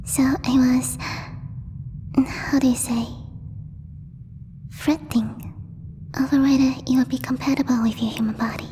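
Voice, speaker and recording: A woman voicing a gentle, timid alien girl in a soft, breathy ASMR roleplay, speaking formally and haltingly as she searches for words. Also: high-pitched, steady tone. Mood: tender, concerned. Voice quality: breathy, whispery. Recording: long reverb, faint background noise.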